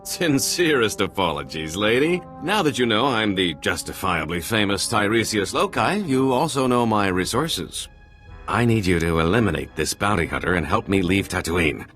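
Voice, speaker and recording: A man's voice: lowish voice, expressive, moderate pace, a bit bright, faint music behind. A man playing an oily, self-important alien schemer in a video game, pompous and wheedling. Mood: pompous pleading. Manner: wheedling, pompous, swooping delivery.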